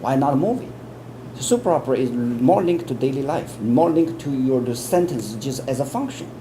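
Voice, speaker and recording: A man's voice: mid-range voice, animated, normal speed. A man with a Chinese accent giving interview answers as an English test expert, earnest, often repeating words and restarting. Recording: air conditioning hum in background.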